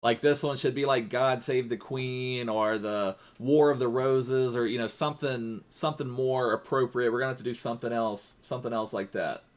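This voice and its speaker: A man's voice: medium pitch, steady tone, normal speed, hardly any pauses. A man streaming a football video game live, chatting casually in a rambling way and thanking viewers as he plays.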